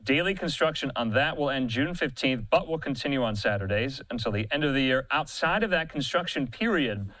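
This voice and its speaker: A man's voice: mid-range voice, lively intonation, a little fast, hardly any pauses, a bit bright, no room sound, low-quality recording. Male news anchor, very dry studio sound. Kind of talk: live breaking news anchoring.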